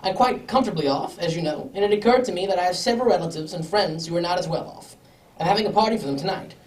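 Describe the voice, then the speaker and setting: A woman's voice: fairly low pitch, animated, quick pace, a little mellow, recorded from a distance, lightly acted. A woman playing a polite, well-off lord with a formal, slightly hesitant manner in a radio drama. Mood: earnest, worried. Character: well-off, courteous English lord, earnest client. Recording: echoey room, off the microphone.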